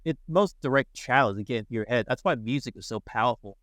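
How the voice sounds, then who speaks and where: A man's voice: medium pitch, quick pace, a bit bright, no room sound. Enthusiastic man, dry close sound. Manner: enthusiastic, filler-heavy with frequent restarts. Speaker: podcast guest. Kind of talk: casual podcast conversation.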